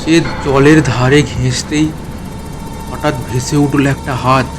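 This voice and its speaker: A man telling a scripted horror story as narrator, in a measured, dramatic manner. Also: level tone, over music. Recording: clear noisy ambient rumble behind narration.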